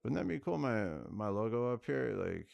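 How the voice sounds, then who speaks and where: A man's voice: medium pitch, very animated, moderate pace, creaky, darkish tone, no room sound. A man chatting casually on a gaming livestream, laid-back and musing aloud to viewers. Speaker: live streamer.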